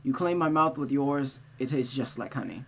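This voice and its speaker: A reader voicing an anguished, brooding male lover from a visual novel in a slow, hushed, halting delivery, over a noisy recording. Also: medium pitch, animated, close to an everyday voice.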